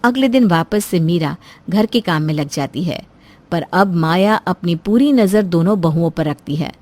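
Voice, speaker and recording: A woman's voice: fairly low pitch, animated, normal speed, darkish tone. A woman storyteller reading a scripted moral story as narration.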